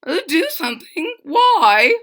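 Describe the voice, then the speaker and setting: Storybook guard captain, male, played by a woman: vain, breezily dismissive, jolly, pitched high and bright. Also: sing-song, slow pace, heavily acted. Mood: breezy. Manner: boastful, jolly, scoffing. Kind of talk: children's storybook read-aloud.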